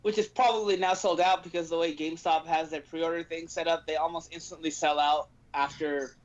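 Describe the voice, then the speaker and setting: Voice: medium pitch, fairly even intonation, a little fast. A podcast host talking casually and emphatically about gaming news, ranting at length. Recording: dull lo-fi stream audio.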